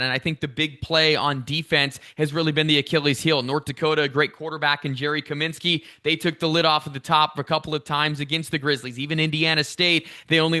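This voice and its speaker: Bright, forceful male sports announcer. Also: fairly high pitch. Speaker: radio play-by-play announcer, interview guest. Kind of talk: sports interview commentary.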